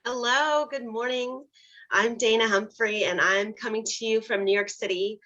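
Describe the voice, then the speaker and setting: Bright, cheerful woman on a dull line. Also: on the high side, steady tone, moderate pace. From Canada. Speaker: guest speaker. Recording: slightly dull, muffled line.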